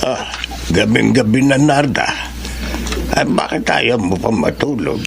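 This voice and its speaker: An old Filipino fisherman, very creaky and gravelly. He is a doting, folksy grandfather in a radio drama, talking slowly with lively swings of pitch. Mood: fond, reflective. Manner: hesitant, folksy, fond. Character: kindly old fisherman grandfather, proud, doting, plain-living.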